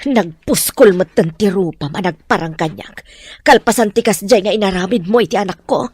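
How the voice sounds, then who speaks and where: A woman's voice: mid-range voice, moderate pace, darkish tone, breathy edge, lightly acted. An actress with a Filipino accent playing an indignant, protective middle-aged mother in a radio drama. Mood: stern.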